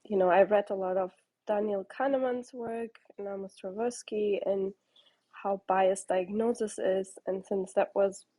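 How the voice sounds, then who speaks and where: A woman's voice: mid-range voice, steady tone, a little slow, dark tone, rough recording. A woman from Portugal in her thirties, a startup founder answering interview questions in a rambling way with many ums and restarts.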